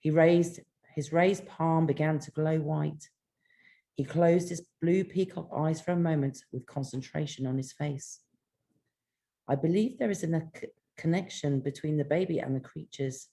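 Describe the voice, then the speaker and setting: A woman with a British accent and a slightly low voice. She is an author, at home reading her fiction aloud or chatting casually as a guest. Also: moderate pace, mellow. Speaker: author and show host, guest.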